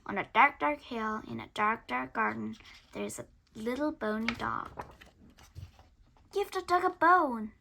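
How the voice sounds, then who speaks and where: A woman with a high, bright voice reads a picture book aloud for children. She takes her time over the phrases and performs them dramatically, dropping into hushed whispers and rising into emphatic bursts. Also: unhurried, gravelly, slight background noise. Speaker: storyteller reading aloud.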